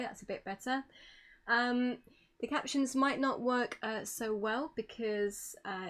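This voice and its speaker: A woman giving an informal online presentation as a streamer, polite and apologetic in manner. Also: mid-range voice.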